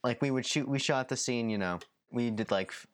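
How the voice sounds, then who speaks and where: A young man hosting a podcast, chatting casually, laughing often and jumping in excitedly. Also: mid-range voice, brisk, clear, resonant tone. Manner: excitable, laughs often, jumps in over his guest. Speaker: podcast host. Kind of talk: casual chat.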